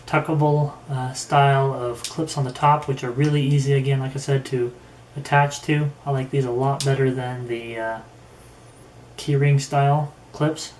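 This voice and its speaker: A man talks through a casual product review in an even, level voice at middling pitch. He is unhurried and halting, working out his thoughts as he speaks. The room echoes around him, with faint noise behind. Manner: unhurried, halting, thinking aloud. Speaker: gear reviewer. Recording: roomy echo with faint background noise.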